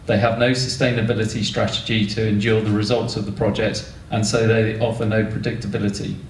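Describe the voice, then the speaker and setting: British male panel speaker, lowish voice, informal delivery, picked up at a distance. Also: level tone, brisk. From United Kingdom. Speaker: panel speaker from an environmental agency. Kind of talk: informal public panel talk. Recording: echoey room, distant mic, faint noise.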